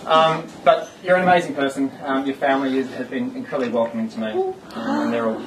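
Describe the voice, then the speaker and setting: A man's voice: medium pitch, steady tone, fast talker, over a noisy crowd. A man giving a rambling, self-mocking speech at his engagement party. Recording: distant mic with loud party ambience.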